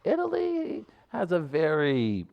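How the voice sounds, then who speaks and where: A man co-hosting a podcast, trading jokes in casual comedic banter with a deadpan edge. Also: a little high, slow pace, vocal fry, muffled recording. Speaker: podcast co-host.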